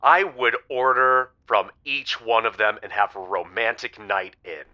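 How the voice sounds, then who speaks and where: Casting: nonchalant male bachelor on radio comedy, mid-pitched, very bright voice, dull phone-in sound, shamelessly smooth. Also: measured pace. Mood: suave. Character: smooth-talking, shameless lovelorn bachelor on a dating show. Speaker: radio show dating contestant. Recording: dull, lo-fi call-in line.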